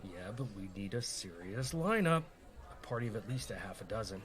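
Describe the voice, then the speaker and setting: A man's voice: lowish voice, expressive, a little fast, a bit bright. An actor playing a sensible, skeptical rogue in a comedy radio play, a dry and exasperated straight man.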